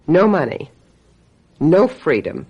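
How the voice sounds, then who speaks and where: An actress playing a vengeful, scheming woman who calls herself a witch, with deliberate, mock-sweet menace in an old-time radio mystery style. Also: low voice, very animated, breathy edge, highs cut off, heavily acted. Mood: coldly triumphant.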